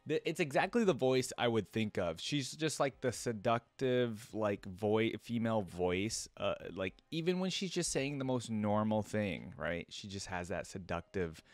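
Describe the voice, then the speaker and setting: A man's voice: fairly low pitch, expressive, a little slow, hardly any pauses, dry recording. A young adult man giving casual reaction commentary as the host of a video. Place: indoor desk setup. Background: game theme music.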